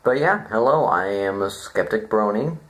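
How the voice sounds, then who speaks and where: A man giving an informal, rambling critique to viewers, deadpan and self-deprecating, halting as he thinks aloud. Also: on the low side. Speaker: video reviewer.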